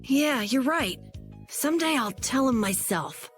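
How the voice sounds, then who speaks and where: A woman voicing an eager, loyal young boy in a video game, speaking with high, emotional earnestness. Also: animated, unhurried, fairly bright tone, faint music behind. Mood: earnest.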